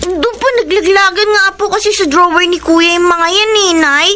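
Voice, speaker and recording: An actress playing a curious young sister who wonders aloud to herself in a radio drama. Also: female voice, extremely high pitch, normal speed, noisy background. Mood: puzzled. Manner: inquisitive, talking to herself.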